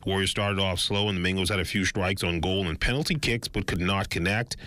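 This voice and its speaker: A man reads sports headlines in a steady, announcer-style cadence. His voice sits fairly low yet has a bright, forward tone. It is a scripted news read. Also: no echo. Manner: measured, announcer-like delivery. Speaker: sports anchor.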